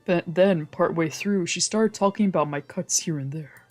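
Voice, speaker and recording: Narrator reading over music, mid-pitched. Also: normal speed, darkish tone. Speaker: streamer reading a visual novel aloud. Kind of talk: dramatic read-aloud narration with casual commentary. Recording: music behind the voice. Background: background music.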